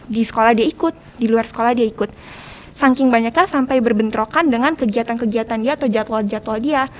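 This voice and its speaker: A teenage girl with a fairly high voice speaks very quickly in Jakarta-accented Indonesian. She explains her points conversationally, like a student guest on a podcast.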